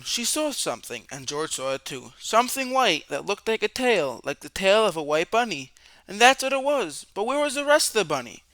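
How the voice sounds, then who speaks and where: A man with a fairly high, very bright voice reads a picture book aloud to young children. His sound is clear and smooth, and he tells it expressively, stretching words and shaping questions with drama. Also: no echo.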